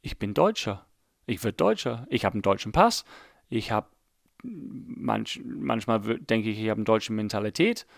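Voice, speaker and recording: A man's voice: on the low side, animated, moderate pace, vocal fry, dry recording, studio quality. An Englishman in his late thirties, whose first language is English, hosting a casual solo podcast in German with an English accent, rambling and mocking himself as he thinks aloud. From England. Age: late 30s. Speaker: podcast host.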